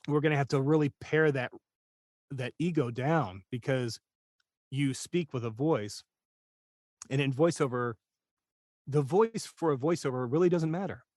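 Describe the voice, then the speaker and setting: A man's voice: medium pitch, measured pace, long pauses, darkish tone, no echo, clean studio sound. A man talking casually as a guest voice-over coach, candid and self-deprecating as he shares anecdotes. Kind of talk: casual conversational interview talk.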